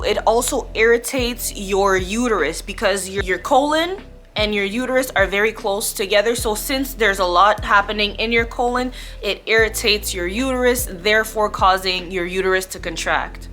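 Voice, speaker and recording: A young woman vlogger with a bright, forward voice tells a personal story casually. She is candid and chatty, leaning on words for emphasis. Soft music plays behind her. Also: mid-range voice. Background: background music.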